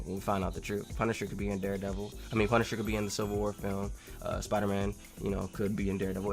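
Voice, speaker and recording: Emphatic man in casual commentary over music. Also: on the low side. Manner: emphatic, repeats phrases for stress, swings from loud insistence to quiet asides. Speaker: video host replying to a viewer comment. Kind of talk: casual opinionated commentary to camera.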